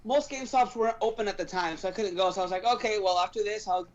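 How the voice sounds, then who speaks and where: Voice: medium pitch, quick pace. Lo-fi casual gaming host. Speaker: podcast host. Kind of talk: casual gaming news discussion. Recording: dull lo-fi stream audio.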